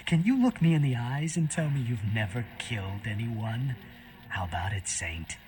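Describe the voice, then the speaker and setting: A man playing a cynical, blood-addicted human servant of vampires in a video game, taunting and fawning with theatrical swings between loud and hushed. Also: mid-range voice, lively intonation, normal speed, noisy background, lightly acted.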